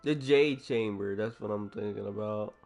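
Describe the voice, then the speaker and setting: A man's voice: fairly low pitch, moderate pace, vocal fry, a little mellow. A man chatting casually and jokingly in slang as he streams a video game. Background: game music.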